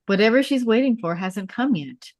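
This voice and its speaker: A woman's voice: fairly high pitch, expressive, normal speed, darkish tone, no echo, clean studio sound. A woman narrating a script at a table read, reading out scene headings and stage directions.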